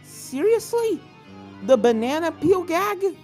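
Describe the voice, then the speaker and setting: An excitable little cartoon filly, acted out by a woman reading a story, pitched well above her narration. She exclaims and gets flustered easily, with swooping, lively pitch over background music. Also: slow, full character voice. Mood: annoyed.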